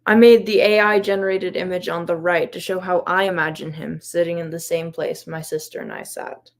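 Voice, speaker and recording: Fairly low-pitched woman presenting. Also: fairly even intonation, a little fast, vocal fry. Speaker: volunteer history investigator presenting research. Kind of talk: prepared slide presentation, reflective.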